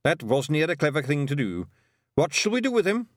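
A man doing a tiny, brash pixie chieftain with a gruff Scottish brogue, pitched up high with a cocky bounce. His voice swings wildly in pitch, full of cheeky bluster, heard close and dry as in a storybook reading. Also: very animated, normal speed, dry recording. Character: scrappy tiny male pixie chieftain, boastful.

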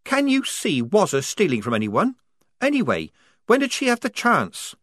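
A man reading an audiobook, voicing a quick-witted, tense young woman soldier who talks fast and insistently. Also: high voice, huge pitch swings, a bit bright, no room sound, clean studio sound, pitched above the performer's own voice, exaggerated voice. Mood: urgent. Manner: hurried, insistent. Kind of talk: audiobook character voice.

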